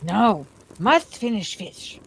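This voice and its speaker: A woman voicing a wretched, sly male creature who hisses and whispers to himself in slow, wheedling words. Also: medium pitch, sing-song, gravelly, fairly bright tone, slightly rough, voice pushed up, exaggerated voice. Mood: sulky. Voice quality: hissing, whispery.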